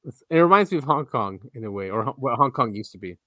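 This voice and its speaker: A laid-back man co-hosting a podcast, his voice at an ordinary middle pitch. He rambles loosely with plenty of "yeah" and "um", and the recording is dry and close. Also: quick pace, dry recording. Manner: relaxed, lots of "yeah" and "um", drifting trailing-off sentences. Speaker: podcast co-host. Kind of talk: casual chat.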